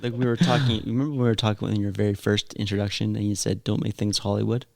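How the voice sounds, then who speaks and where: A man's voice: fairly low pitch, flat delivery, fast talker, gravelly, darkish tone, dry recording, clean studio sound. A man chatting casually as co-host, thinking aloud as he rambles and often restarting his sentences. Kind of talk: casual conversation.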